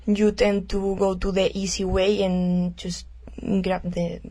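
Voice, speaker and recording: A woman's voice: medium pitch, flat delivery, slow, dark tone. Young woman hosting a chatty radio show. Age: young adult. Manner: friendly, hesitant with fillers when giving opinions. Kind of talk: casual radio chat.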